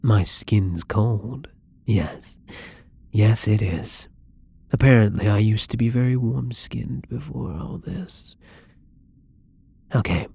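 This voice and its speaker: A man playing a newly turned, gentle and hesitant vampire in an intimate ASMR roleplay, speaking softly and haltingly with frequent whispers. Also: lowish voice, full character voice. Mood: nervous, gentle. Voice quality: breathy, husky. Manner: soft, halting, often dropping into whispers.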